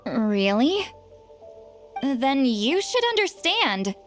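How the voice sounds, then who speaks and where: A woman's voice: high-pitched, very animated, extremely slow, faint music behind, full character voice. A woman playing a guarded, awkward, long-lived shaman who sounds young, in an anime fantasy game. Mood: melancholy. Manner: awkward, hesitant, dramatic sighs.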